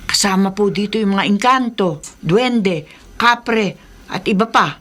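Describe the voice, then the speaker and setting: A woman's voice: medium pitch, a little slow, a bit bright. An actress playing a superstitious, respectful household woman who gives cautionary warnings about spirits in a radio drama. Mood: cautionary, earnest. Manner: deferential, cautionary.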